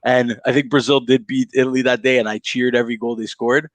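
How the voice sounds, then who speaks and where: A man's voice: medium pitch, level tone, fast, dry recording. A man on a podcast rambling through a family story in casual chat, with frequent restarts. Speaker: podcast co-host.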